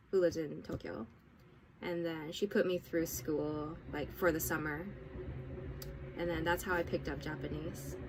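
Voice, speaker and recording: Relaxed young woman from Hawaii. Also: on the low side, background noise. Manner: relaxed, with frequent fillers and soft laughs. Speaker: guest, English teacher and content creator. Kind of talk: casual podcast interview. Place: home near a train line in Tokyo.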